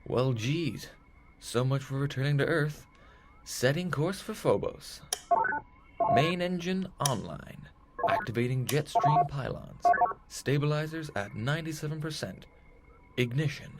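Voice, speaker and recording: A man's voice: on the high side, lively intonation, measured pace, heavy background noise. A man playing an earnest, folksy heroic space captain in a retro sci-fi audio drama, full of old-fashioned exclamations. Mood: determined.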